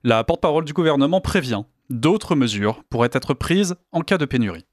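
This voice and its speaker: A man's voice: medium pitch, lively intonation, brisk, slight background noise. A man reading scripted political commentary in a sardonic, indignant manner. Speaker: commentator presenting news clips.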